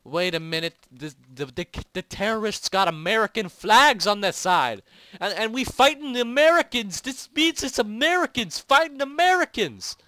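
A young man casually commentating on a shooter game, joking and getting loud when excited. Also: high-pitched, moderate pace, dry recording. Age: young adult. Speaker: gaming channel host. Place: home, recorded late at night.

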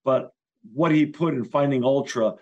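A man's voice: medium pitch, moderate pace, a little mellow, highs cut off. A sixty-year-old man hosting a podcast interview over a video call, enthusiastic and repeating words as he asks questions. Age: 60s. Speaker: podcast host. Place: home office.

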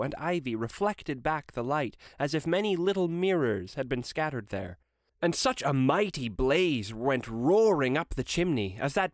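A man narrating a classic story aloud in an expressive storytelling style. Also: on the high side, no room sound, studio quality.

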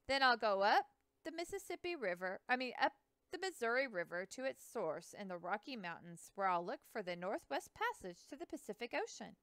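Earnest young explorer guy, polite, kinda rushed, woman reading him in a storybook, smooth voice. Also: a little high, big pitch swings, fairly bright tone, dry recording, pitched above the performer's own voice. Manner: earnest, courteous, speaks in a rush.

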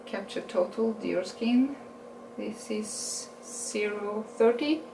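Slow, chatty Norwegian-accented woman vlogger. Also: mid-range voice, creaky, recorded from a distance. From Norway. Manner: unhurried, chatty, self-correcting, laughs at her own English. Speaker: beauty vlogger. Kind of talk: casual makeup haul review. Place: small room indoors. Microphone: camera mic at a distance. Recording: roomy sound with a steady background hiss.

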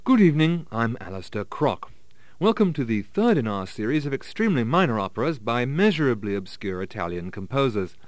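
A formal, pompous opera presenter played by a man on a parody radio broadcast. His middle-pitched voice moves expressively, recorded close and dry. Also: sing-song, brisk, no room sound, close to an everyday voice. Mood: pompous, earnest.

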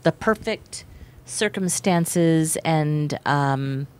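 A woman's voice: low-pitched, very slow, clean tone. A woman in her forties, an actress and playwright, chatting as a guest in a small studio with a handheld mic. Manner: animated, gestures while talking. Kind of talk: casual interview chat. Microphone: handheld microphone.

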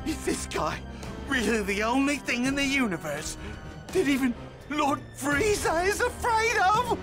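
A man's voice: very high-pitched, big pitch swings, unhurried, music in the background, heavily acted. An actor voicing a cocky young male alien henchman in an anime game. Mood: incredulous. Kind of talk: anime game dub acting.

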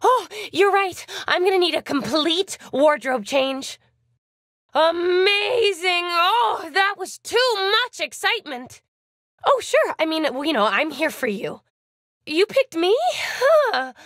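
A woman with an American accent voicing a bubbly, eager, excitable young princess racer in a video game, gushing and slightly flustered. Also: sits high, unhurried, dry recording, studio quality, heavily acted. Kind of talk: animated video game character voice lines.

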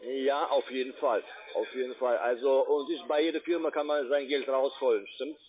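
A non-native German speaker, a man with a foreign accent, making a halting, repetitive sales pitch. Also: steady tone. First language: not German. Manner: halting, repetitive, tag-question fillers. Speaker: call-centre caller pitching investments. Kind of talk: sales pitch on a phone call. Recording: tinny narrowband phone line.

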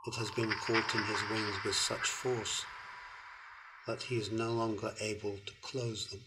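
An older man in a film, reciting a philosophical text slowly and solemnly. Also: lowish voice, full character voice, rough recording. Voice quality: slow, measured, flat delivery. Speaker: reader in the artist's film. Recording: soundtrack of a film played back.

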